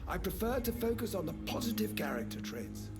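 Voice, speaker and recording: An actor playing a genteel, tactful hospital doctor in a video game, articulate and full of admiration. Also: male voice, sits high, very animated, a little fast. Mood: tactful, candid. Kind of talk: game dialogue acting. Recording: loud music behind the voice.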